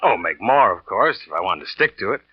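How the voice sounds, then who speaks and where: Low-voiced, scheming American radio thriller man. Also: sing-song, fairly quick, breathy edge, no echo, exaggerated voice. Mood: boastful, offhand. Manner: cool, sardonic, offhand. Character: scheming, cynical ex-lover, a smooth-lying aircraft salesman. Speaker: lead actor and first-person narrator. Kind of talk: 1940s radio thriller acting with confessional narration. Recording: dull vintage radio recording with music.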